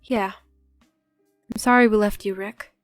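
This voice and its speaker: A woman's voice: medium pitch, steady tone, unhurried, no room sound, clean studio sound, soft background music, natural voice. A woman playing a tired, tender wife and mother in a dubbed comic drama. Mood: hushed, regretful.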